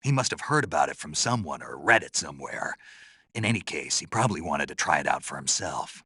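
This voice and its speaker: A man playing a gruff, terse American soldier on a covert mission in a video game, with a low, gravelly, husky voice. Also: big pitch swings, pushed and bright, no room sound, full character voice. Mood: matter-of-fact, instructive. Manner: terse, deliberate, dry. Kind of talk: dramatic game voice acting.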